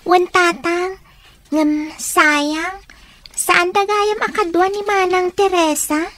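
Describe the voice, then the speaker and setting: A woman's voice: very high-pitched, steady tone, slow pace, fairly bright tone, a little hiss or hum, heavily acted, rough recording. A woman playing a young daughter in a radio drama, girlish, plaintive and childlike as she speaks to her father. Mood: hopeful. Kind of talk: Ilocano radio drama acting.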